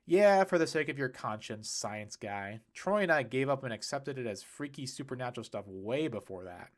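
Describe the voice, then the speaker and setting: A man's voice: mid-range voice, lively intonation, moderate pace, close to an everyday voice. A man voicing a casual, blunt adult man in a storybook, skeptical and teasing, with informal, emphatic delivery. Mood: teasing objection.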